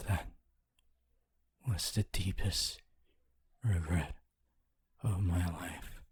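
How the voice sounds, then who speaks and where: A man voicing a lonely, honorable ghost of a teacher in an ASMR roleplay, speaking in a hushed, breathy, halting and wistful way. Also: low voice, full character voice. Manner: slow, halting, wistful, intimate. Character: honorable lonely male ghost, former teacher, tender and regretful.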